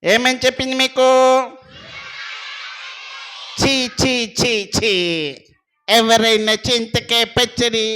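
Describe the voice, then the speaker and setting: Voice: mid-range voice, flat delivery, sharp tone. A performer voicing a cheeky, easily offended puppet who quizzes children in a playfully huffy way at a Sunday school show. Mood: mock offended. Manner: slow, questioning, playfully huffy.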